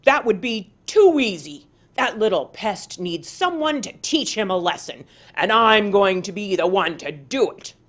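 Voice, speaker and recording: A woman's voice: mid-range voice, animated, measured pace, few pauses. A woman voicing an arrogant, bad-tempered male tiger in a children's story, speaking in an emphatic, commanding way. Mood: scornful.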